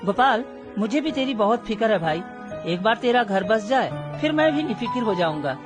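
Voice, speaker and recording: Self-serving elder brother voiced by a woman, high pitch, brusque, crafty, children's cartoon story over music. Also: animated, moderate pace, natural voice. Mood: feigned concern. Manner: brusque, bossy.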